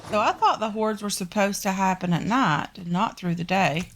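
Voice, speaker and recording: A woman's voice: medium pitch, normal speed, a bit bright, slight background noise. Southern gamer lady, stuffed up w a sinus thing, rambly chill commentary, lots of ums. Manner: chatty, addresses viewers as guys, frequent ums. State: congested from a sinus infection. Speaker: gaming channel host. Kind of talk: casual game commentary.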